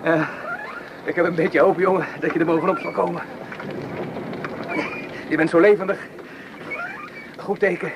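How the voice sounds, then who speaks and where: A man's voice: on the high side, steady tone, normal speed, long pauses, lo-fi sound, close to an everyday voice. Man acting an anxious soldier, very noisy recording. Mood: anxious, tender. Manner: urgent, pleading, protective, in short plain phrases. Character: anxious, tender male soldier of peasant origin, protective friend. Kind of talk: Dutch radio play acting. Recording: very noisy old radio play recording.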